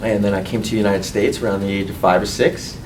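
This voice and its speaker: A man in his twenties from Colombia who grew up in California, giving an earnest, halting personal testimonial as a student. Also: fairly even intonation. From Colombia, raised in California. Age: 20s. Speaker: medical student and university board representative. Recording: distant mic, faint rumbling noise.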